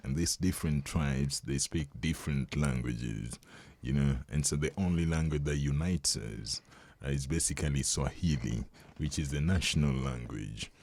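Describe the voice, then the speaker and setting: A young Kenyan man whose first language is Luo, explaining things in a relaxed, conversational way during an interview and chuckling often. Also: rumbling low, moderate pace, hardly any pauses, no room sound. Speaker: guest.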